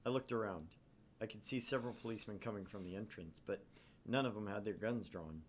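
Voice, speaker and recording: A man's voice: fairly low pitch, fairly quick, a little hiss or hum. A man hosting a gaming channel, narrating a visual novel aloud with theatrical, irreverent casual asides.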